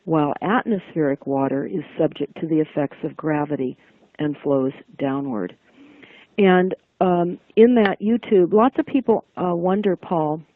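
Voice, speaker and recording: A woman hosting an interview, speaking in measured, drawn-out phrases as she explains things to listeners. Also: fairly low pitch, vocal fry, no room sound. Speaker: interview host. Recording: dry phone-like line.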